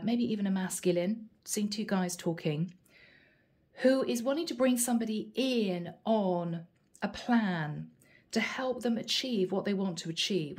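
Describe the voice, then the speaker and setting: A woman with a head cold giving a tarot card reading, musing softly and thinking aloud as she goes. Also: mid-range voice. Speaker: tarot reader.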